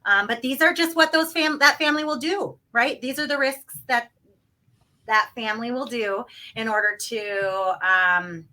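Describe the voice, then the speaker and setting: Bright-voiced woman explaining. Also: a little high, unhurried. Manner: hesitant, full of fillers, explaining step by step. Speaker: guest, home financing expert. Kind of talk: casual podcast interview.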